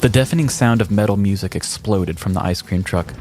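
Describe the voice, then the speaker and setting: Low-voiced man narrating a mock-dramatic story. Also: fairly quick, a little mellow, soft background music. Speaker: podcast host. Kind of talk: scripted comedic storytelling narration.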